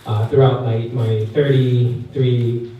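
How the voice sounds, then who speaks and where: A Korean-accented man in his thirties talks to a young crowd in a loose, chatty way, often pausing on fillers and putting questions to them. His voice booms around a very echoey hall, picked up from well back with a faint hiss underneath. His pitch sits in the middle range. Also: flat delivery, highs cut off. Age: 30s. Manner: chatty, asks the audience questions, many fillers. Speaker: guest speaker at a youth camp. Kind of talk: personal testimony talk. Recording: echoey hall, mic far off, faint noise.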